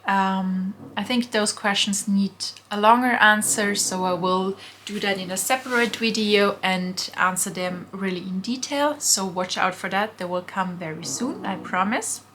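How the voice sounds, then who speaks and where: A woman's voice: medium pitch, a little slow, hardly any pauses, fairly bright tone. A young woman from Austria, chatting casually through a vlog Q&A from her bedroom, with frequent ums. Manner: chatty, frequent ums, answers viewer questions. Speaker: vintage shop owner vlogger. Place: bedroom at home. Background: faint construction noise from next door.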